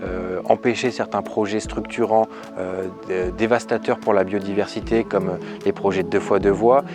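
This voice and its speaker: A man's voice: fairly low pitch, fairly even intonation, moderate pace. A man in his twenties giving an earnest, hesitant campaign statement with frequent fillers. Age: 20s. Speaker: local election candidate. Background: music.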